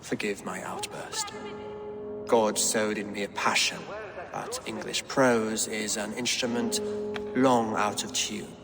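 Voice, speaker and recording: A man voicing a learned, devout king who speaks in measured, formal phrases. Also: on the low side, very animated, vocal fry, long reverb tail, music in the background, exaggerated voice. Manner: scholarly, measured, quotes scripture. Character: learned, pious Saxon king.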